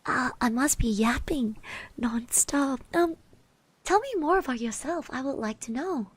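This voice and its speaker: A woman voicing a shy, sweet, chatty girl classmate in a soft-spoken, hesitant ASMR roleplay. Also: high-pitched, lively intonation, a little slow, slightly rough, no echo, heavily acted. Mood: shy curiosity. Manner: hesitant, gentle, refers to herself in third person.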